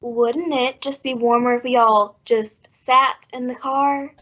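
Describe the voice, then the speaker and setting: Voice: mid-range voice, steady tone, slow, fairly bright tone. A woman playing a squeamish, complaining teenage daughter in an old-time radio family comedy. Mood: pleading. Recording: dull, muffled recording.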